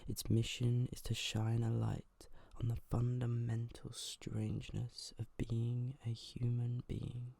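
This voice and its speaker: An ASMR host reading aloud in a soft, breathy whisper, gentle and hushed, close to the microphone. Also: mid-range voice, monotone, takes it slowly, few pauses, no echo. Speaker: host of an ASMR video channel.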